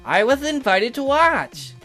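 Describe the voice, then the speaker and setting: A man's voice: very high voice, big pitch swings, measured pace, sharp tone, soft background music, heavily acted. A man voicing a condescending, sardonic castle magician in a visual novel, haughty and scornful as he explains things. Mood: scornful explaining.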